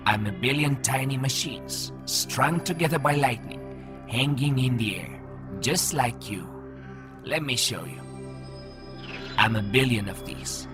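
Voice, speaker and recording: A man's voice: medium pitch, measured pace, music in the background, close to an everyday voice. An actor playing a proud, teasing man made of nanomachine fog in a voiced comic, chatty and explanatory. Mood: patient, showy. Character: breezy, proud male human made of floating nanomachine fog. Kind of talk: voiced comic audio drama.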